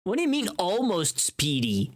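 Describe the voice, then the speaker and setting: A livestream host chatting casually with viewers in an animated, informal, sweary way. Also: medium pitch, normal speed.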